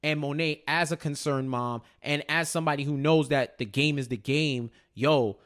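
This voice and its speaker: A man with a somewhat high-pitched voice chats as co-host of a TV recap. His speech is casual and slangy. He repeats phrases while forming a thought and often tags his points with a quick check-in. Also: a bit bright. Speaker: podcast co-host.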